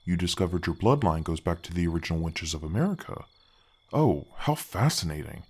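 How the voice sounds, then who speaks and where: A man voicing a friendly, halting, ancient gargoyle guardian in an ASMR fantasy roleplay, with a deep voice effect. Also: expressive, husky, heavily acted. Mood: warmly welcoming. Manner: halting, friendly, reassuring, chatty. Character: gentle, helpful male gargoyle, ancient temple guardian.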